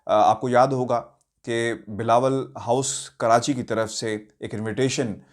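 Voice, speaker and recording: A Pakistani man speaks at a medium pitch as a news commentator. He addresses his viewers directly about politics, with deliberate emphasis. His delivery is halting, with hesitations between phrases. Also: steady tone.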